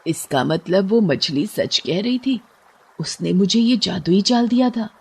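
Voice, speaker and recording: A woman's voice: mid-range voice, expressive, a little slow, creaky, breathy edge, full character voice. A woman playing a poor, devout, kind-hearted older fisherwoman in a moral story, speaking earnestly. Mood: thankful. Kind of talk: moral storybook cartoon voice acting.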